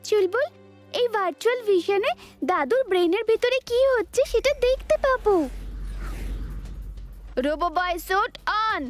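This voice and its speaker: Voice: very high voice, animated, moderate pace, long pauses, soft background music. An actor voicing a heroic, earnest young robot boy in an urgent way for a children's cartoon dub. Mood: determined.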